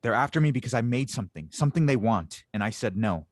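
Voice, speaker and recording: A man's voice: mid-range voice, animated, quick pace, no room sound, studio quality, natural voice. A man playing a guarded, defiant young fugitive inventor, terse and evasive, in an audio drama table read.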